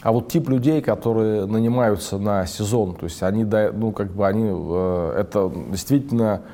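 A male interviewer with a low, warm voice puts questions to his guest. He hesitates often and restarts his phrases as he hunts for the right wording. His delivery stays calm and conversational. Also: normal speed. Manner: hesitant, searching for words.

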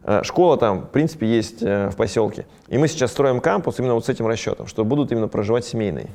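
A man talks rapidly and casually, as though answering an interviewer's questions. His voice is dark and mellow, and his speech is full of small fillers and restarts. He sounds like a business owner describing his own operation. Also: medium pitch. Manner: fast, conversational, many fillers. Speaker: ski resort business owner.

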